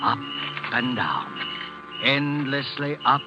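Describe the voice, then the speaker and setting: A man narrating a mystery radio drama in a deliberate, atmospheric storytelling style. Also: medium pitch, very animated. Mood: brooding. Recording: dull old radio recording. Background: music.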